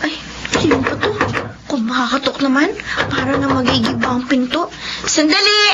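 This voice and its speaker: A woman's voice: high-pitched, animated, moderate pace. A woman with a Filipino accent playing a polite, dutiful teenage schoolgirl daughter in a radio drama, speaking deferentially. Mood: puzzled. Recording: dull lo-fi audio, loud noise.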